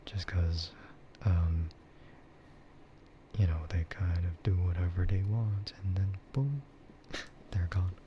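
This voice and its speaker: A man streaming in a soft ASMR chat style, rambling and easygoing, hesitating and often slipping into a whisper. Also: deep voice, steady tone, takes it slowly, pauses often. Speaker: VTuber streamer. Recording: muffled lo-fi audio with clear noise.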